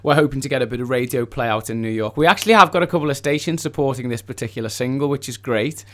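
A man, a singer being interviewed in a radio studio, chatting casually in an effusive, grateful way at a medium pitch. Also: quick pace, a bit bright.

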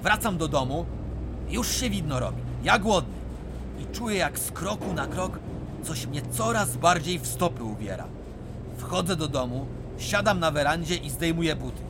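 A boastful storytelling miner in a radio play, his voice pitched high and swooping wildly up and down as he spins his tale. Behind him, the rumbling ambience of a mine hums loudly. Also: moderate pace, background noise. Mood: rueful. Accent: Silesian. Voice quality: lively storyteller, swooping theatrical delivery. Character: boastful tall-tale-telling male miner Bulandra. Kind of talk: radio drama acting.

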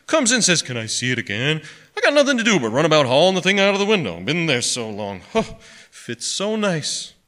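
Give the storybook pawnbroker as a male narrator acts him out a weary, irritable grumble with a shrewd haggler's crispness. The voice is forceful and bright, and its pitch swings widely through folksy phrases. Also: big pitch swings, moderate pace. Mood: grumbling.

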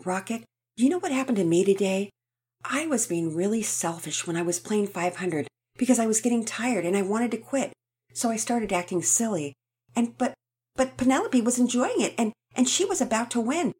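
A sincere young boy in a storybook, tripping over his words as he owns up to a mistake, voiced by a woman. His tone sits a little above her own, lively and a touch husky. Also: fairly high pitch, moderate pace, pitched above the performer's own voice. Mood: earnest. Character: earnest, thoughtful young boy.

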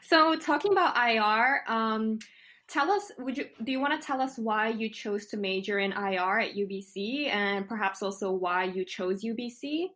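A woman's voice: a little high, normal speed, fairly bright tone. Young woman co-hosting a student podcast. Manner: friendly, hesitant with fillers.

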